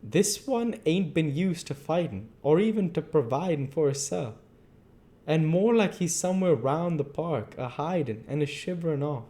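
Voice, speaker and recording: A man performs an old keeper from a classic storybook, his voice pitched well up. He rambles on in homely dialect, opinionated and emphatic, with loose rustic grammar. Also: lively intonation, moderate pace, mellow, lightly acted. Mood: folksy, opinionated. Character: talkative old Cockney zookeeper, folksy and opinionated. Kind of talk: audiobook character voice.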